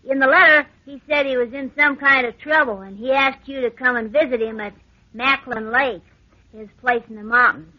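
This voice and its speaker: High-pitched, hesitant young helper. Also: female voice. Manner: hesitant recounting with ums. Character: eager, obliging young assistant Johnny. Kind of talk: old-time radio drama acting. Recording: dull old radio recording.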